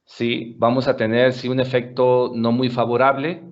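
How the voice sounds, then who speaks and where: A man presenting on a webinar, answering audience questions in a measured way with frequent filler pauses. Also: medium pitch, level tone, highs cut off.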